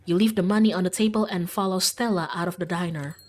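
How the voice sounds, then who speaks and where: A woman's voice: lowish voice, steady tone, quick pace. A woman reading a visual novel aloud expressively as a streamer, with casual asides to viewers.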